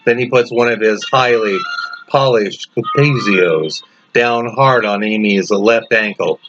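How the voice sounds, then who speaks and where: A man reading a literary story as its author in a dramatic narration style, with deliberate, set-apart phrasing. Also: lowish voice. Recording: dull lo-fi sound under loud music. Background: loud music.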